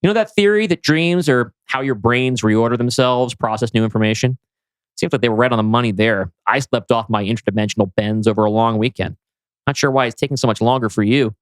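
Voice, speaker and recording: A man's voice: medium pitch, brisk, clear, resonant tone, dry recording, lightly acted, rough recording. A man reading the part of a breezy, slangy buddy in a science-fiction script, with a flippant manner. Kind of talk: script table read.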